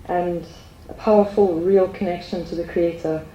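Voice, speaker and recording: A woman giving an earnest spiritual testimony to a group, speaking hesitantly with many pauses and ums. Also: on the low side, steady tone, dark tone, roomy sound, muffled recording, a little hiss or hum.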